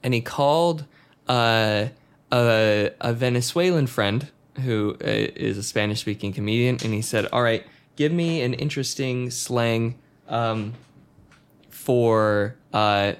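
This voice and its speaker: A man's voice: mid-range voice, slow, clear, resonant tone. Laid-back guy on a podcast, explaining stuff, lots of ums. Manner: easygoing, explains with context, hesitant fillers. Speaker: podcast co-host. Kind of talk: casual chat.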